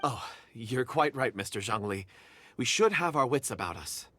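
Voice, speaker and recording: A man's voice: mid-range voice, expressive, normal speed, sharp tone. A man voicing an eager, polite young male helper in a video game, modest and earnest. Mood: agreeing. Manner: earnest and modest, easily delighted.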